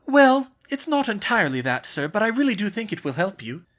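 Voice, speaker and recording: A man doing a brisk, earnest schoolgirl. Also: high voice, sing-song, fairly bright tone, lo-fi sound, pitched above the performer's own voice. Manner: brisk, persuasive.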